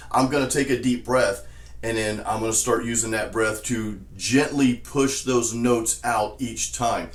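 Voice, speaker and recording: A man with a naturally low voice teaching death metal vocal technique in a relaxed, explanatory way, often saying "you know". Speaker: vocal coach. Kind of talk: casual spoken tutorial. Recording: roomy echo.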